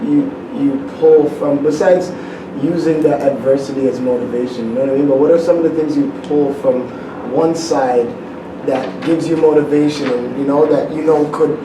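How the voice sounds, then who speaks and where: A man's voice: medium pitch, fairly even intonation, normal speed. A man co-hosting a casual interview, asking long, rambling, loosely built questions. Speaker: co-host. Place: studio. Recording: roomy, distant mic, noise.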